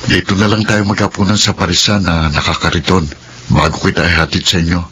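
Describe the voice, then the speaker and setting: A man's voice: low voice, little pitch movement, fast talker, pushed and bright, a little hiss or hum. An actor playing an attentive, devoted young working man in love in a Filipino radio drama. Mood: concerned.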